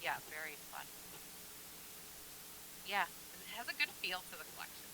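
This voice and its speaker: A woman's voice: lowish voice, big pitch swings, moderate pace, vocal fry, sharp tone, slight background noise, low-quality recording. A woman guest quilt designer in a casual chat, talking in an easygoing, hesitant way with frequent ums.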